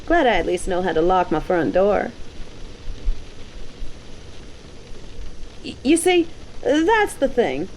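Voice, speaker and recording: A woman's voice: mid-range voice, big pitch swings, moderate pace, a little mellow, close to an everyday voice. Casting: actress as dominant, wisecracking female bounty hunter, mid-range voice, scornful and slangy, roleplay audio drama with some noise behind. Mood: smugly teasing. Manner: mocking, taunting, slangy. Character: cocky, sarcastic, dominant woman bounty hunter.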